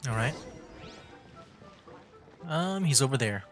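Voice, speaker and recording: A man's voice: medium pitch, lively intonation, slow, soft background music. A man giving casual live game commentary as a streamer, rambling as he thinks aloud.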